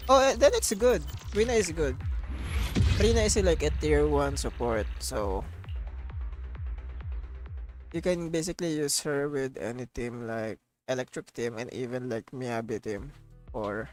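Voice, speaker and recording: A man chats casually as he streams a game, with music playing behind him. His talk rambles and circles back on itself, full of slang, in a mid-pitched everyday voice. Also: unhurried, long pauses, darkish tone. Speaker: livestreamer. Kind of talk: casual livestream chat over gameplay. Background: game music and audio.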